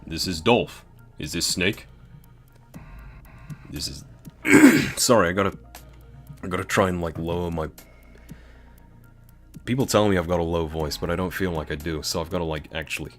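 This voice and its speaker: British guy reading aloud with chatty asides, very low voice, faint music. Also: fairly quick, long pauses. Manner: chatty, swearing, laughs at own jokes. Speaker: streamer reading a game novel. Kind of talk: reading aloud with casual commentary.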